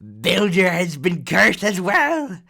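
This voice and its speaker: A man's voice: sits high, wildly swooping pitch, takes it slowly, vocal fry, sharp tone, exaggerated voice. A man voicing a small, grubby blue swamp creature in an audiobook, gloating and greedy, crowing theatrically about himself in the third person with a phlegmy voice. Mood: bitter, defiant.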